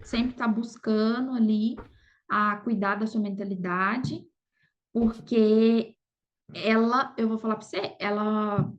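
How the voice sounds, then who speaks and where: A woman's voice: on the high side, steady tone, takes it slowly, dull audio. A Brazilian woman talking casually as an interview guest, often adding little check-in tags to her sentences. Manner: conversational, frequent "sabe" and "entendeu" tags. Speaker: podcast guest, affiliate marketer.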